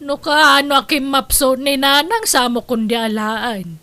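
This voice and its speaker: A high, bright woman's voice with an Ilocano accent, sharp-tongued and defensive. She carries an audio comedy drama with quick, pointed retorts. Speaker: drama voice actor, daughter-in-law caring for an old mother. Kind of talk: Ilocano radio-style comedy drama acting.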